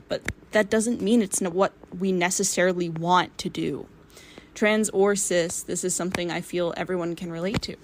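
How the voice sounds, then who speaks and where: A woman giving earnest, expressive fan commentary in a prepared voice message. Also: mid-range voice, a little hiss or hum. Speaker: listener sending a voice message.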